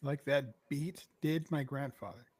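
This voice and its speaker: A man co-hosting a casual podcast, loud, with quick exclamations and swearing. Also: fairly high pitch, fairly even intonation, dry recording. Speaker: podcast co-host. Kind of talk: casual chat.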